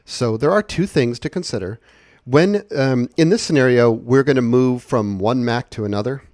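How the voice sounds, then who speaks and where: A man giving a casual, conversational software walkthrough, explaining things step by step. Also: mid-range voice, moderate pace, no echo, clean studio sound. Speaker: software tutorial presenter.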